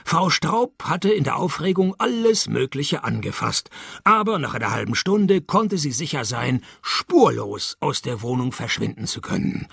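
An actor playing a wry, cynical private detective in a radio play, narrating and talking in a dry, sardonic manner. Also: male voice, on the high side, very animated, a little slow, creaky, fairly bright tone, breathy edge, dry recording, full character voice.

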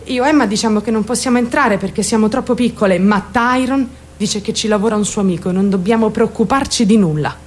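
A woman's voice: mid-range voice, quick pace, hardly any pauses, natural voice. An actress playing a troubled, defiant teenage girl who confides her story straight to the listener in a theatrical radio monologue. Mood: confiding, wry. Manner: confiding, addressing listeners directly, mimicking other voices.

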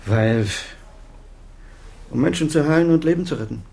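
Husky, defensive older doctor father. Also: a little high, normal speed, warm tone. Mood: defensive, curt. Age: middle-aged or older. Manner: clipped, defensive. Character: defensive, guilt-laden senior doctor and father. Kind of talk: German radio crime drama acting.

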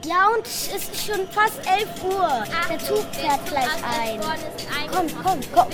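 A childlike performer playing an impatient schoolgirl friend in an amateur school radio play, with a simple, slightly halting delivery. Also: very high voice, expressive, music in the background, full character voice. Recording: noisy amateur school recording.

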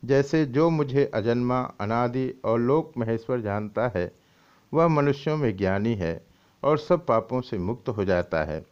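A man's voice: medium pitch, expressive, a little slow, darkish tone, clear, resonant tone. Dull lo-fi recording of a man reading scripture. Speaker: swami reading and explaining scripture. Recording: dull, lo-fi audio.

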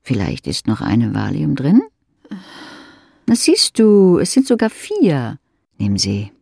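An actor playing an intimate inner-voice narrator in a radio drama, speaking in an insistent, pointed way. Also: male voice, mid-range voice, wildly swooping pitch, takes it slowly, creaky, darkish tone, breathy edge, dry recording, clean studio sound, exaggerated voice. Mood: coaxing. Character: intimate needling inner-voice narrator addressing a weary wife.

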